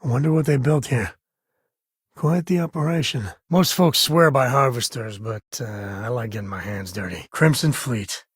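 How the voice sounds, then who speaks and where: Video game space explorer dad: very husky mid-pitched voice, folksy, wry, easygoing, close and dry. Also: male voice, normal speed, no echo. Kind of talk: video game character dialogue.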